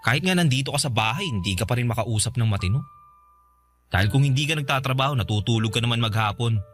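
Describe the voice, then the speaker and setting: An actor playing a hurt, resentful young boyfriend, sarcastic and accusing, in an emotional radio drama. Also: male voice, medium pitch, animated, fast, lightly acted. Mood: accusing, bitter. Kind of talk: Tagalog radio drama acting. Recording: music bed behind the voice.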